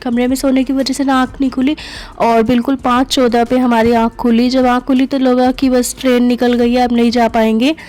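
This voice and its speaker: A woman's voice: fairly high pitch, level tone, fairly quick, a little mellow. A young woman narrating her family vlog in voice-over, chatty and full of fillers. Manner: chatty, many fillers like "na" and "matlab". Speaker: family vlogger.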